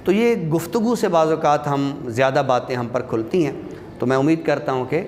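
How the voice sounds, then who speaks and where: A man's voice: on the high side, darkish tone. A man giving a classroom lecture as a literature teacher, explaining things step by step and addressing his listeners directly. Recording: reverberant hall, clear background noise.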